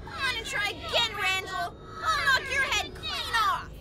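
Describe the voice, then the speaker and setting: A woman's voice: very high voice, animated, unhurried, over music, crowd noise. A woman voicing a panicking, hot-headed flying pegasus in an audio drama, frantic and wailing. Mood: angry, defiant.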